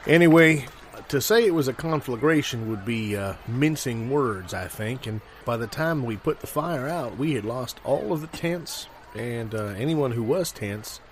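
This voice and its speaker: Guy doing a deadpan circus showman, improv tall tales, medium pitch, bit of background noise. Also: close to an everyday voice. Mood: deadpan storytelling. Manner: deadpan, deliberate storyteller. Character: male old-time circus showman swapping absurd tall tales. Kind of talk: improvised conversational comedy.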